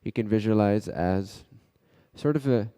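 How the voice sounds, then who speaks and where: Slow, low-voiced man guiding meditation, heavy reverb. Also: dark tone, smooth. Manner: measured, halting delivery in short phrases with pauses. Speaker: meditation guide. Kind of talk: guided meditation. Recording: long hall-like reverb.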